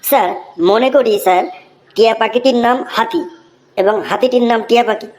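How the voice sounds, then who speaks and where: A woman's voice: fairly high pitch, brisk, a little mellow, natural voice. A woman voicing cheeky, smart-mouthed young pupils answering a teacher with mock respect in a comic cartoon. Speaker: cartoon voice actor. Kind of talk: comic Bengali cartoon dialogue.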